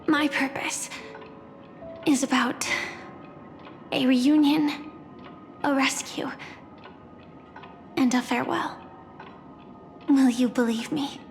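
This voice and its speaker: A woman's voice: high voice, fairly even intonation, slow, long pauses. An actress voicing a sweet, earnest and polite young woman in a video game. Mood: solemn, hopeful. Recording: reverberant, with music behind.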